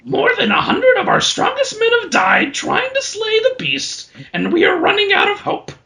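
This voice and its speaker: Squeaky, raspy old troll chief. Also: male voice, huge pitch swings, moderate pace, pushed and bright, exaggerated voice. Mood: cheerfully grave. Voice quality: raspy, strained cartoon voice. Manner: sing-song, nonchalant. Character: withered eccentric old troll chief, oddly cheerful.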